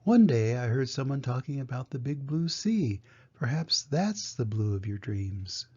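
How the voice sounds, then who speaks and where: Man reading as a kindly old museum guard, husky. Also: mid-range voice, lively intonation, unhurried, darkish tone. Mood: kindly, thoughtful. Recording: dull lo-fi home recording.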